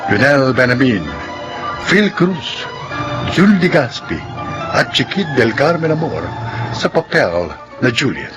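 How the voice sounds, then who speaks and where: A Filipino man announces a radio drama with formal, dramatic delivery. His medium-pitched voice moves in lively swings over clearly audible music. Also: slow pace, pauses often, poor audio. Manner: formal, declamatory. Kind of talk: scripted, dramatic narration.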